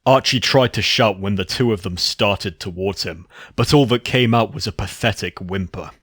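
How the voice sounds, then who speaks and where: A man's voice: fairly low pitch, moderate pace, a bit bright, no room sound, clean studio sound. A man giving a scripted narration of a horror story.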